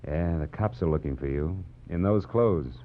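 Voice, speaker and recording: A man's voice: sits low, moderate pace, close to an everyday voice. An American man playing a wry, hard-boiled private detective in a 1940s radio drama, sardonic and clipped. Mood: dry, wisecracking. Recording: dull vintage radio recording, music behind.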